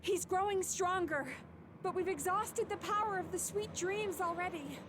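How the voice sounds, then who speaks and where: An actress playing an earnest young dream-eating yokai woman in an anime-style video game, speaking in a measured way. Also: very high-pitched, background noise. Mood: troubled.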